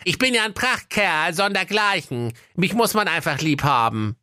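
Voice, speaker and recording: A man's voice: high-pitched, lively intonation, normal speed, bright, forceful tone, no echo, studio quality, voice pushed up. A man voicing a vain, stammering young male suitor in a comic fairy tale. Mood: delighted. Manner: boastful, stammering.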